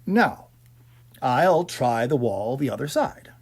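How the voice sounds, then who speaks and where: A man voicing a nervous character lost in the dark for a story, muttering hesitantly with uneasy bravado. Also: medium pitch, very animated, slow pace, slightly rough, exaggerated voice. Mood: forced calm. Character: nervous man lost in darkness, forcing himself calm.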